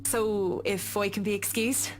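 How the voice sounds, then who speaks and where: A woman's voice: medium pitch, fairly even intonation, unhurried, a little hiss or hum, close to an everyday voice, rough recording. An actress playing a reserved, apologetic professional woman in a game, speaking with polite reluctance. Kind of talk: visual novel game voice acting.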